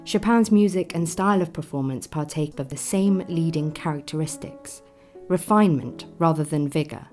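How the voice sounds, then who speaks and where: An actress voicing a formal Victorian newspaper critic, reading deliberately in a radio play. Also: female voice, deep voice, darkish tone. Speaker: reader of newspaper notices. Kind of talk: dramatised reading of 19th-century newspaper.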